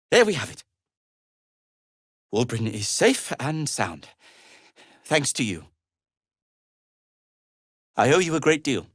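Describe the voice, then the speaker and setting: An actor playing an anxious, devoted gnome inventor in a video game, speaking in a hesitant, politely formal way. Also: male voice, medium pitch, dry recording, clean studio sound. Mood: polite, grateful. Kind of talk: video game character acting.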